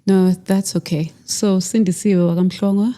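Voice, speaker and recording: A South African woman, a podcast guest, telling a personal family story in a measured, reflective way with pauses and restarts. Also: medium pitch, steady tone, dark tone.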